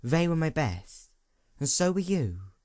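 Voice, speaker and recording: Man as hushed, grieving pony princess. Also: a little high, big pitch swings, measured pace, no echo, clean studio sound. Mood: forlorn. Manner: sorrowful, hushed, restrained. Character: grieving, regal female pony princess, ancient and restrained. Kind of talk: dramatic storybook character voice.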